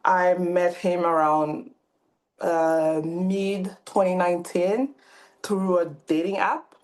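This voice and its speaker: A woman's voice: fairly low pitch, fairly even intonation, slow, a little mellow. A woman from Cameroon in her thirties, a podcast guest talking casually with many fillers and restarts. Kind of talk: casual interview talk.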